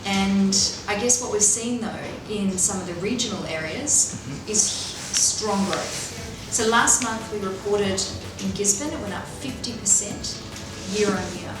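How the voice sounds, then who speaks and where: A middle-aged woman, answering interview questions in a measured, businesslike way with frequent fillers and restarts. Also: mid-range voice. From Australia. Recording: echoey room, far from mic, loud background noise.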